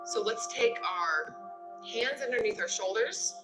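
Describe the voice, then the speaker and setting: A woman's voice: on the high side, animated, unhurried, reverberant, over loud music. A woman instructor guiding a yoga class in a conversational, encouraging way, giving step-by-step movement and breathing cues.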